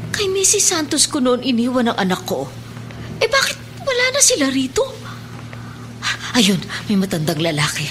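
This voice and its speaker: A woman's voice: sits high, a bit bright, slight background noise, full character voice. A woman playing an anguished former housemaid searching for her son in a Filipino radio drama, speaking pleadingly and with deference.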